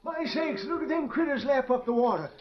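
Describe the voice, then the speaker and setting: A homespun old cowhand with an extremely high voice and an American Western drawl. He is deferential and folksy, in vintage radio style, on a dull old broadcast recording. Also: animated, brisk. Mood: folksy, obliging. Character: folksy, obedient old male ranch hand. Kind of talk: 1940s radio western acting.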